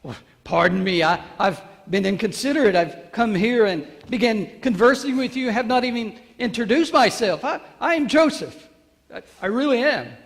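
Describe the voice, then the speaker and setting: Casting: male actor as boastful, excitable ancient carpenter for stage monologue; very animated, emphatic, halting delivery, long hall reverb. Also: extremely high pitch, gravelly, exaggerated voice. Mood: reflective, proud. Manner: emphatic, halting, repeats phrases, addresses listeners directly. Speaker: monologue performer. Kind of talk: theatrical church monologue.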